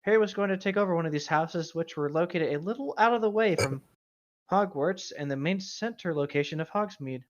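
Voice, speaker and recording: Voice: mid-range voice, fairly quick, lo-fi sound. A show host with a playful, quipping manner, moving between casual banter and reading a story aloud. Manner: playful, interjecting quips. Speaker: host of a bad fan fiction reading show.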